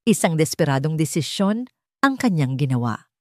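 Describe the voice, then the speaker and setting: A Filipino woman narrates a dramatic story in a lowish voice. Her pitch moves widely from phrase to phrase. The sound is close and dry. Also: sing-song, moderate pace, warm tone, no echo.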